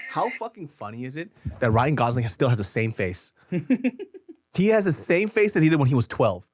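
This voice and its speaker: A man who grew up in the United States with Korean as his first language, telling stories in a casual podcast chat, animated and swearing freely. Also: medium pitch, moderate pace, long pauses, faint music behind. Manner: animated storyteller, swears freely, laughs often. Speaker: podcast co-host.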